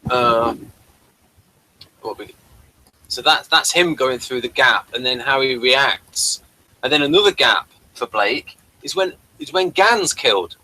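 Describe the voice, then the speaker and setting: Bright-voiced man rambling through casual commentary. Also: medium pitch, lively intonation, poor audio. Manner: rambling, halting, trailing off mid-sentence. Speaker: co-host of an episode review. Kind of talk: casual running commentary on a TV episode.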